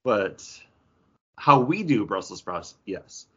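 A man's voice: lowish voice, sing-song, slow pace, bright, forceful tone, slightly rough, highs cut off. A man hosting a casual podcast chat and moderating a panel's ranking. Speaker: podcast host.

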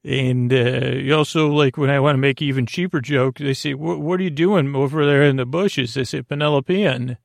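A man hosting a sleep podcast in a meandering, halting monologue, whimsical and self-deprecating, stammering and circling back on his words. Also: mid-range voice, fairly even intonation, creaky, no echo, studio quality. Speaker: host of a bedtime story podcast.

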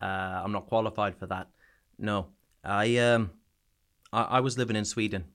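A man from small-town eastern Canada with a Canadian accent touched by an Irish-sounding twang, telling his story frankly and haltingly as a podcast guest. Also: on the low side, fairly even intonation, fairly bright tone. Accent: Canadian mixed with an Irish-sounding twang. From small town in New Brunswick, eastern Canada. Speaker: podcast guest, soldier back from war. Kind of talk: personal interview storytelling.

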